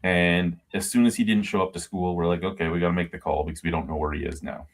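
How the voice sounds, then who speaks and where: A man in his forties, low-pitched, rambling through casual anecdotes over a video call. He swears freely and loops back on himself as he talks. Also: steady tone, fast. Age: 40s. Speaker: podcast co-host. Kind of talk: casual chat.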